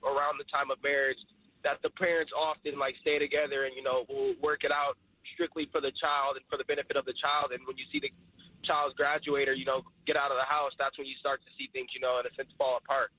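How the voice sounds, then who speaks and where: Flat-voiced male caller on a phone line. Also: medium pitch, no room sound. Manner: measured, many fillers. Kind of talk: phone-in call.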